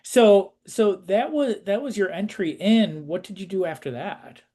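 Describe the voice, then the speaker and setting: A man's voice: sits high, lively intonation, measured pace, warm tone. A man working as an interviewer, speaking in a casual, conversational style.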